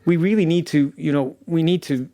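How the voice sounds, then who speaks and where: A man's voice: on the high side, a little fast, mellow. A man who works as a sound designer explains his process in an earnest, emphatic interview manner. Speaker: audio drama sound designer.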